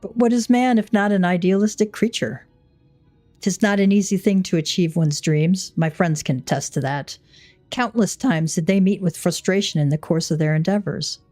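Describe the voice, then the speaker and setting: A woman voicing a wise, composed mentor in a video game, with measured, lofty, old-fashioned phrasing. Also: fairly low pitch, darkish tone, faint music behind, lightly acted. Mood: solemn, inspiring. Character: wise, composed, archaic-spoken encouraging mentor.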